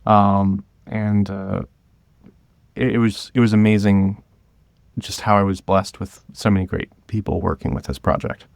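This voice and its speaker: A man with a fairly low voice, recorded dry with hardly any room sound. He talks casually as an interview guest, feeling his way through stories in a halting manner, with the odd chuckle. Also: fairly even intonation, vocal fry, darkish tone, no echo. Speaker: guest, director of an audio drama podcast.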